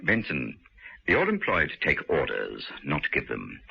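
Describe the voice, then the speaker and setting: An actor playing a scheming male industrialist confined to a wheelchair, speaking in a smooth, clipped, condescending manner in a radio drama. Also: a bass voice, wildly swooping pitch, measured pace, full character voice. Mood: cold, commanding. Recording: dull lo-fi radio broadcast.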